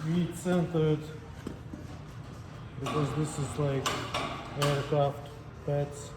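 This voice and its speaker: A man giving a step-by-step technical tutorial as a technician, speaking plainly in short, halting phrases. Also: on the high side, fairly even intonation, very noisy. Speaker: technician demonstrating equipment calibration.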